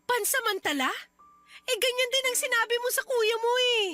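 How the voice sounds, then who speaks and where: A woman playing a frustrated, sharp-tongued wife in a Tagalog radio drama, confrontational and emphatic. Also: very high-pitched, moderate pace, dry recording. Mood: furious. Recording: music bed under the voice.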